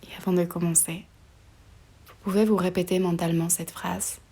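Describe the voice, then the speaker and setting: A woman guiding a relaxation meditation in a soft, breathy voice often near a whisper, slow and soothing, with pauses between short phrases. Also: on the low side, little pitch movement. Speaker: yoga nidra meditation guide.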